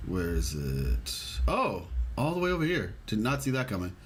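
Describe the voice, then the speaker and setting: A man giving casual gameplay commentary as a streamer, rambling and thinking out loud. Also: fairly low pitch, wildly swooping pitch, normal speed, a little hiss or hum. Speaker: game streamer.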